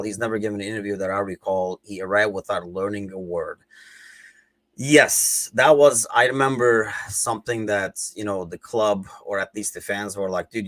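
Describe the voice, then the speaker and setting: A man with a Turkish accent talks through football news in a loose, rambling way, leaning on lots of "you know" and "like" fillers. His voice sits a little low, and the recording is dry and close with no room sound. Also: fairly bright tone, dry recording. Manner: rambling, conversational, many fillers. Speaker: podcast host. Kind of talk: casual football chat.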